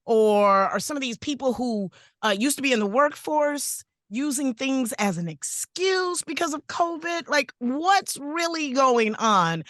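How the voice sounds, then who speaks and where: A woman with a naturally raspy voice co-hosting a podcast, animated and exclaiming, often restarting her sentences. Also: high voice, measured pace, hardly any pauses, no room sound, studio quality. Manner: animated, exclaiming, self-interrupting. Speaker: podcast co-host. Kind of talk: casual podcast chat.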